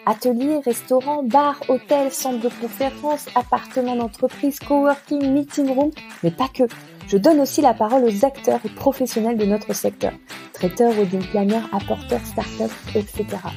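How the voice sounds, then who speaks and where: A woman's voice: fairly high pitch, steady tone, normal speed, vocal fry, mellow, faint music behind. A woman with a French accent speaking as the host and interviewer of a podcast. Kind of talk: podcast intro and conversational interviewing.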